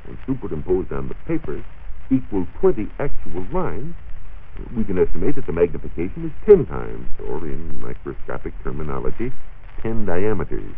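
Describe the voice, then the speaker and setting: A very low-voiced American man explains science in a measured, precise manner, with lively swings in pitch. His voice has a husky edge. It comes through a narrow, phone-like 1940s radio recording. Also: slight background noise. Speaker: science reporter answering listener questions. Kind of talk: 1940s radio science talk, partly scripted.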